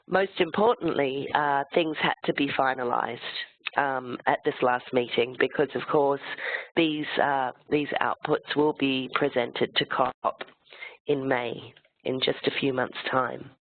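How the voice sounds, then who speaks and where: Croaky webinar presenter with a cold. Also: mid-range voice. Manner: explains step by step, slide by slide. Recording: tinny phone-like line, dry sound.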